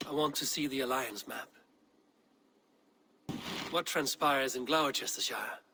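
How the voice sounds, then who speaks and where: An actor playing a steady, diplomatic Viking warrior chieftain in a video game, measured and dryly wry. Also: male voice, mid-range voice, lightly acted. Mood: wry, curious. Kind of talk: dramatic video game acting.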